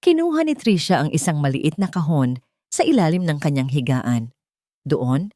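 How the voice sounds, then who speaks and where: A woman's voice: low voice, a little mellow, no room sound, clean studio sound. A woman narrating a scripted drama story with dramatic storytelling.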